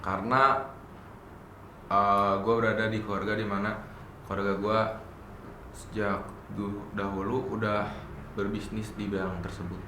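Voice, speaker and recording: A young man giving short, halting answers in a casual interview. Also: lowish voice, flat delivery, bright, forceful tone. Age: young adult. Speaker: interviewee, student entrepreneur. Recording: echoey room, noisy background.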